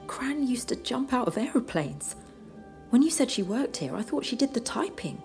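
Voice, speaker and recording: A woman playing an excitable young companion. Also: fairly high pitch, normal speed, soft background music. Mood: eager. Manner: eager and questioning. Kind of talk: audio drama acting.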